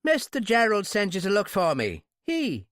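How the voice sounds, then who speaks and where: A man voicing a gruff, suspicious middle-aged Irish rig foreman in an audiobook, blunt and rough in tone. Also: huge pitch swings, normal speed, a bit bright, no echo, studio quality, exaggerated voice. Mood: truculent, suspicious. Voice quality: deep, rough. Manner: no-nonsense, blunt. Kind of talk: audiobook character voice.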